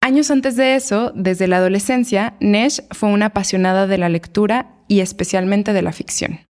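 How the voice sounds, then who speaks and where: A woman narrating a documentary podcast in a measured, scripted storytelling voice. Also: medium pitch, a little mellow.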